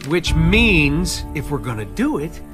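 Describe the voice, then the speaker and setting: A man's voice: fairly high pitch, very animated, unhurried, over music. An actor playing a cocky, wisecracking male treasure hunter in a motion comic, with a wry, assertive manner. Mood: wry, firm.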